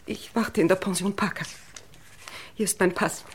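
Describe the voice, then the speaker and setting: A newly widowed wife in an old radio crime play. Her husky, mid-range voice is subdued. She sounds shaken but keeps a reserved composure. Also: medium pitch, fairly even intonation, normal speed. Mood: distraught, restrained. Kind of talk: vintage German crime radio play acting.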